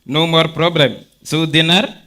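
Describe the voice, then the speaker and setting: A man playing a persistent Ukrainian businessman in a stage drama, speaking slowly and forcefully. His Eastern European accent comes with blunt, clipped, broken English and a slightly high pitch. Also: steady tone. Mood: matter-of-fact, persuasive. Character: forward, persistent Ukrainian businessman courting a woman.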